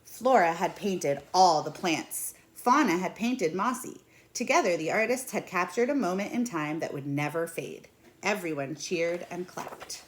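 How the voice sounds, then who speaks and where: A woman in her thirties reading a picture book aloud in an expressive, deliberate way. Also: lowish voice. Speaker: read-aloud storyteller. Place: small indoor room at home.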